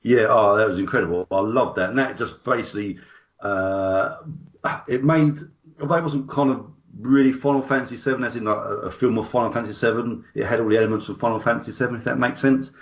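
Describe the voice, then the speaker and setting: Male co-host, mid-pitched, enthusiastic and rambling, dull lo-fi podcast sound. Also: fairly even intonation, quick pace. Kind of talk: casual podcast chat.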